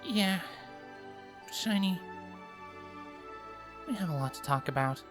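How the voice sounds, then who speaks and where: A man voicing a young female unicorn princess, a nervous and earnest little sister with a soft, hesitant way of talking, in a storybook reading. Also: high-pitched, animated, slow pace, pitched above the performer's own voice. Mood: shy, affectionate. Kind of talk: audiobook character voice in fan fiction. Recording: music playing behind the voice.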